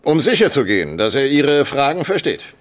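A man's voice: medium pitch, very animated, brisk, lightly acted. A man acting a stern, controlling military academy commandant in a radio drama, curt, imperious and formal. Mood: stern, suspicious. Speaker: actor.

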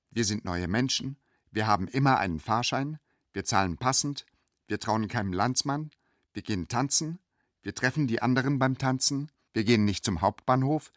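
A man's voice: medium pitch, fairly bright tone, no echo, natural voice. A man's mid-range voice, an actor's, as a guarded migrant in a radio drama. He lists short declarations like a chant, speaking for a whole group. The sound is very dry. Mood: guarded, declarative. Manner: deliberate, declarative, very short sentences. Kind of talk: chant-like list of statements in an audio drama.

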